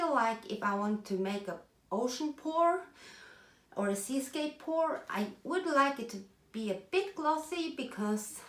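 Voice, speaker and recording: A woman with a Norwegian accent explaining a craft project in casual chat, chatty and self-deprecating, pausing to search for English words. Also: high voice, fairly bright tone, echoey room. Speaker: craft video host. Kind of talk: casual tutorial talk while working.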